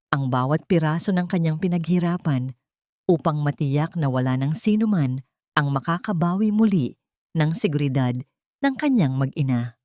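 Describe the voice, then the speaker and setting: A woman with a Filipino accent narrating a scripted dramatic story in a measured, earnest, sentimental way. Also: low voice, a little mellow, no room sound, clean studio sound.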